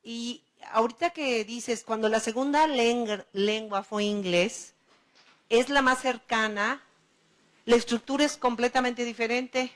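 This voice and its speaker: A woman in the audience, asking a lecturer a question and building her point slowly and thoughtfully. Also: fairly high pitch, fairly bright tone.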